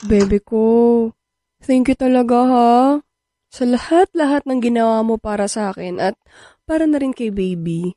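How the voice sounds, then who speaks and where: A woman plays a young new mother in a sentimental radio drama. Her voice is high and a little darker in tone, with an earnest, grateful warmth toward her family. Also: normal speed, dry recording, studio quality, close to an everyday voice. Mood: tender, grateful. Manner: affectionate, earnest.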